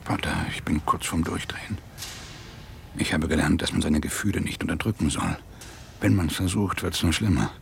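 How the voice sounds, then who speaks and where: An actor playing a troubled middle-aged married police detective in a German radio drama, gruff but candid. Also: male voice, sits low, moderate pace, a little hiss or hum. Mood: troubled, hushed.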